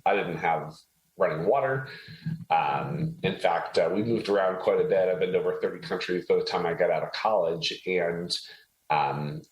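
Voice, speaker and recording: A man from Haiti speaking as an interview guest, explaining things conversationally with measured self-corrections. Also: deep voice. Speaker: interview guest, tech entrepreneur. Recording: roomy, echoey sound.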